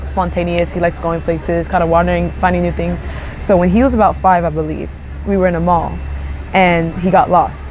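A young woman whose first language is Japanese speaks in a slightly low, easygoing voice, pausing and restarting as she reflects. She is filmed outdoors among tropical plants, with faint music underneath. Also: a little mellow, poor audio. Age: young adult. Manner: thoughtful, frequent "you know" fillers and restarts. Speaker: interviewee. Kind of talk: casual personal storytelling.

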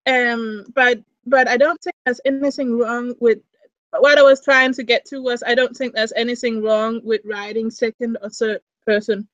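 A woman's voice: a little high, fairly bright tone, no echo, muffled recording. A young woman from Denmark with a Danish accent, speaking English as her second language, rambling with many restarts in a casual interview over a video call. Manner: rambling, frequent restarts and fillers. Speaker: interviewee, fan fiction writer. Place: small indoor room. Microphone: webcam mic on a video call.

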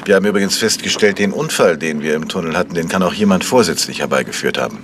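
An actor playing a polite, inquisitive amateur detective in a German radio mystery. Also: male voice, low voice, expressive, quick pace. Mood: probing. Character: probing, courteous amateur detective. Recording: faintly noisy old radio recording.

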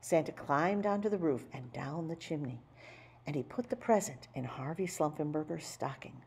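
A woman reading a children's picture book aloud in an animated storytelling style. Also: low-pitched, moderate pace, slight background noise. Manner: animated, acting out dialogue. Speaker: storybook reader.

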